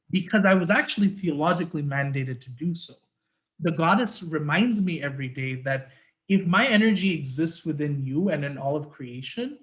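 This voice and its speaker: A man with a sore throat giving an earnest, reflective talk as an interfaith activist, then answering questions over a video call. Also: a little high. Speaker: guest speaker, interfaith activist.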